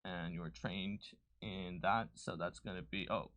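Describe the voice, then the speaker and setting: A man's voice: sits low, fairly even intonation, measured pace, fairly bright tone, no echo, dull audio. A man chatting casually as a livestream co-host, thinking aloud as he explains tabletop game rules and works through numbers. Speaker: game designer co-hosting a livestream.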